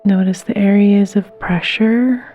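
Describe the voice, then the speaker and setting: Slow, mellow woman guiding meditation. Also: mid-range voice, steady tone, dull audio, faint music behind. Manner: gentle, soothing, unhurried pauses. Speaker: guided meditation instructor. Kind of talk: guided meditation.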